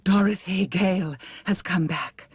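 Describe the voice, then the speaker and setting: A woman's voice: on the low side, measured pace, husky, big-room sound, pitched above the performer's own voice. A woman voicing a frightened, scheming princess villain in an audiobook story, breathless and protesting. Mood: breathless alarm.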